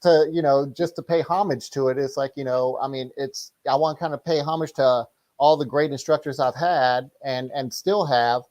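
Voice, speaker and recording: A middle-aged man speaking casually as an interview guest and martial arts instructor, rambling and halting as he explains things. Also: mid-range voice, steady tone, a little mellow.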